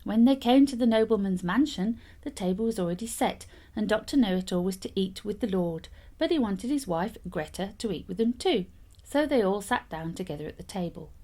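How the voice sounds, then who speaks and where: A woman reads a fairytale in a medium-pitched voice. Her delivery is expressive, with lively swings of intonation that suit a storyteller. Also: a little fast.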